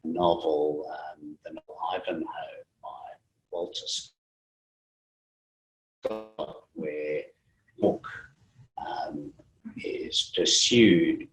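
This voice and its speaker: A man with a very deep voice hosts a conversation over a video call. He talks discursively, with hesitant phrasing, as he sets up points for his guest. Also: very slow, pauses often, low-quality recording. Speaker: interviewer.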